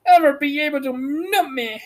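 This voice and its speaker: A man voicing a wicked, cunning old witch from a storybook, with a shrill, wailing delivery. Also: normal speed. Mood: despairing.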